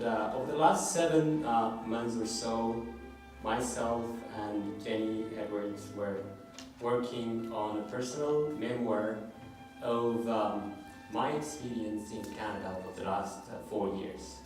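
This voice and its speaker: A man with an Ethiopian accent speaking haltingly to introduce his memoir at a public reading. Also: mid-range voice. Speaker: memoir author, guest speaker. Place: room at a public event. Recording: echoey room, distant mic, music.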